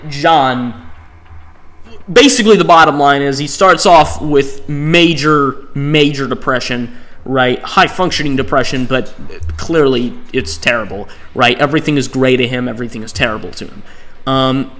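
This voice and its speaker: A man's voice: medium pitch, a bit bright. A man giving casual, unscripted ranking commentary as a video host, rambling conversationally and often checking in with the listener. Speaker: host of a video game tier list channel. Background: music under the voice.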